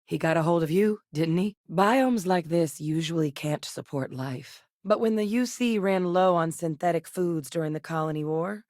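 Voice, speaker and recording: An actress plays an earnest woman scientist from a sci-fi game, with a fairly low voice and measured, articulate delivery. She sounds serious and duty-minded, recorded dry and close with no room sound. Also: a little mellow, dry recording, natural voice. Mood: calm, instructive. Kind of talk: scripted game character dialogue.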